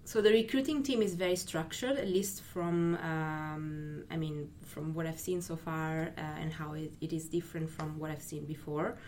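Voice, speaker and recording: A woman's voice: deep voice, fairly even intonation, a little mellow, slight background noise. A woman from Italy, a creative recruiter, speaking thoughtfully in a casual interview. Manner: thoughtful, measured, with fillers.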